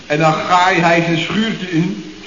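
A man's voice: high-pitched, fairly even intonation, slow, fairly bright tone. An actor playing a cheeky, garrulous young man in a radio comedy, rambling and muddling his words. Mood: gleeful telling. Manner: rambling, mangles words and corrects himself. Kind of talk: 1970s radio comedy acting. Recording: echoey, dull old radio recording, faint noise.

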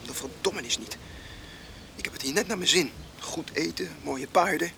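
An actor playing an easygoing but stubborn wagon driver in a radio drama, gruff, content and unafraid. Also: male voice, on the high side, normal speed, bright, forceful tone. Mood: dismissive. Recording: noisy 1980s radio drama with outdoor ambience.

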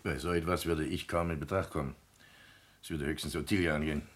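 An actor playing a stiff, self-assured son-in-law and business manager in a radio play, formal and self-important. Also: male voice, rumbling low, steady tone, fast talker, slight background noise. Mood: evasive. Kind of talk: German radio play acting.

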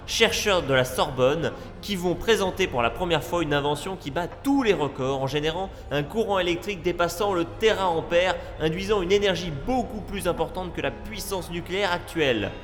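Man as a high, grandiose congress presenter in reverb. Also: normal speed, hardly any pauses, close to an everyday voice. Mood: ceremonious. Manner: formal, grandiose. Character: formal, ceremonious male science congress presenter. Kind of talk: comedic audio drama acting. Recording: long hall reverb with music.

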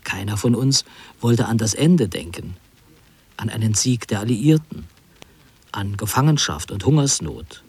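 A man playing a wry, reflective narrator recalling his wartime youth in literary radio drama, measured and lyrical. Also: on the low side, lively intonation, darkish tone, husky, exaggerated voice.

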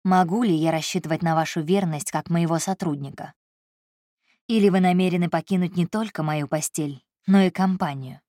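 A woman's voice: on the low side, moderate pace, dark tone, no echo, studio quality, lightly acted. A woman voicing a domineering, hot-tempered wealthy employer who speaks curtly and coldly. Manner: curt, commanding, cold.

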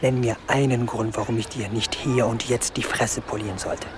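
A man's voice: medium pitch, fairly even intonation, normal speed, background noise, lightly acted. A young man playing a bitter, angry eighteen-year-old. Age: young adult. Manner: curt and bitter. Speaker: son.

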